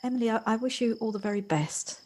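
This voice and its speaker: A woman acting out an earnest, courteous visitor in an audio play. Her voice sits fairly high, and she speaks tentatively, tripping gently over her words as she tries to be kind. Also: fast, natural voice. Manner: hesitant, stammering, polite. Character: polite, hesitant woman visitor to a small town, kindly and earnest. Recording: amateur home recording on personal device.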